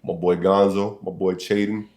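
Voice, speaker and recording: A man's voice: deep voice, flat delivery, moderate pace, a little mellow. A young college-age man hosting a casual podcast, telling stories in laid-back slang and laughing often. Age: young adult. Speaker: podcast host. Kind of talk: casual chat.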